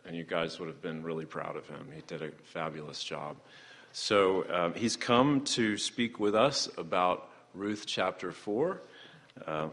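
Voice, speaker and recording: Very low voiced pastor guy, excited intro, lots of uhs, churchy echo. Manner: enthusiastic, halting with fillers. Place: church sanctuary. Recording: long church reverb.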